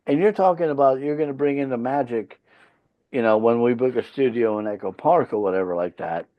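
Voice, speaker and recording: A man's voice: medium pitch, brisk. Finnish-born guy, swedish first language, rock band leader on a podcast, cusses a lot and gets emphatic, kinda lo-fi sound. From Finland. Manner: blunt, profane, emphatic storytelling. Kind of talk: casual interview chat.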